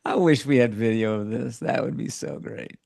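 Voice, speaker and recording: A man's voice: medium pitch, moderate pace, vocal fry, a little mellow. A middle-aged man hosting a podcast, interviewing casually with playful teasing and short questions. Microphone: video call.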